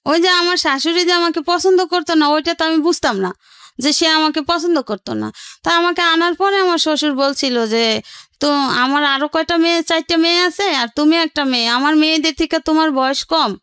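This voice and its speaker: A woman's voice: high voice, a bit bright, no echo, studio quality. A woman with a Bangladeshi Bengali accent telling her own life story in a colloquial, rambling way, often quoting what relatives said. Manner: colloquial, rambling narration with quoted family speech. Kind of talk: personal storytelling in conversation.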